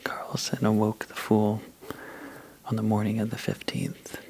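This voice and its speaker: Slow, half-whispering man telling a bedtime story. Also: on the low side, completely flat delivery. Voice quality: soft, breathy, half-whispered. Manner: slow, drowsy, halting delivery with long pauses. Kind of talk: sleepy ASMR bedtime story, improvised narration.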